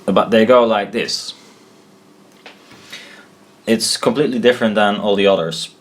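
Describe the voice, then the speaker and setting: Low-voiced man explaining slowly, thinking aloud. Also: steady tone. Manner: halting, thinking aloud, self-correcting. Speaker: presenter of a hobbyist explainer video. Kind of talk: informal hand-drawn technical tutorial.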